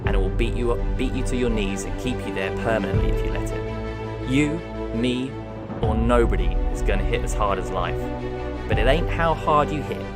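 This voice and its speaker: A man's voice: on the low side, moderate pace, loud music behind the voice, lightly acted. A man playing a tough motivator, giving an earnest, emphatic film speech of hard life advice. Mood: grave, earnest.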